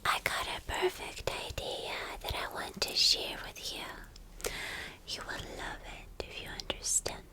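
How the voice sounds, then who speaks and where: A woman voicing a vain, obsessive villain girlfriend in a breathy, lingering ASMR roleplay whisper. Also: lightly acted. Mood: doting, obsessive. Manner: slow, breathy, lingering, repeating phrases.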